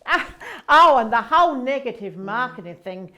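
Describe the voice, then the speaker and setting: An actress plays a female angel executive in a stage comedy, corporate-minded and fond of gossip. Her voice is very high and swings widely in pitch, with gushing exclamations between the boardroom phrases. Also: lively intonation, normal speed, sharp tone. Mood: disapproving, gossipy. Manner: gushing, exclamatory, business jargon. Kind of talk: comedy stage play acting.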